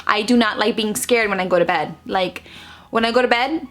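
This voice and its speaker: A woman's voice: mid-range voice, brisk, soft background music. Chatty woman vlogging from her bedroom. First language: Spanish. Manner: chatty and animated, gesturing with her hands, many fillers and restarts. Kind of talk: casual facts-about-me vlog. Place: bedroom at home, indoors. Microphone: camera close in front, seated.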